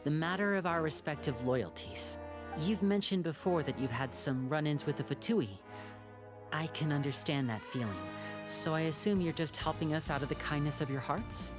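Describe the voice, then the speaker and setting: An actor playing a composed, watchful young man in a video game, speaking politely and deliberately, with music behind. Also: on the high side, lightly acted. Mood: courteous, earnest. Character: composed, courteous, watchful young man, protective older brother.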